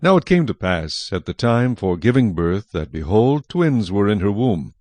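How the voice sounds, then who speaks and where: A man's voice: on the low side, sing-song, moderate pace, darkish tone, dry recording. A man reading scripture as the narrator of a dramatized audio Bible.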